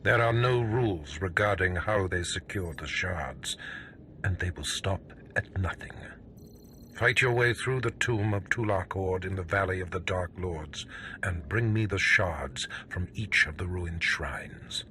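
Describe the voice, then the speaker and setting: An actor playing a stern, scheming Sith lord in a video game, speaking in a measured, imperious, menacing manner. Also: male voice, sits low, pushed and bright, slight background noise. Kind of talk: scripted video game drama.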